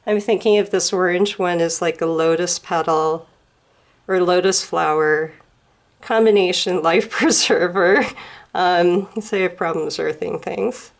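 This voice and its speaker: A woman at home gently explaining a handmade display to a camera, her voice slightly hoarse from a starting cold. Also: on the low side. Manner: gentle, unhurried, pausing to explain details. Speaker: student presenting a craft project. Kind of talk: slow show-and-tell explanation. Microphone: camera filming a display.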